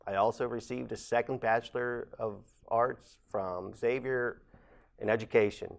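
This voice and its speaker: A man, giving a measured, earnest campaign statement as a candidate for council president. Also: medium pitch, steady tone, gravelly. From Ohio, USA. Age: late 40s.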